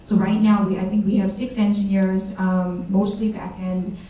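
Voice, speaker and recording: A woman's voice: medium pitch, little pitch movement, normal speed, mellow, a little hiss or hum. Co-founder woman, echoey distant room. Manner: hesitant, many fillers and restarts. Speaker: company co-founder on a panel. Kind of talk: panel discussion answers. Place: large event room with audience. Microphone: handheld mic, far camera. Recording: echoey room recorded from far off.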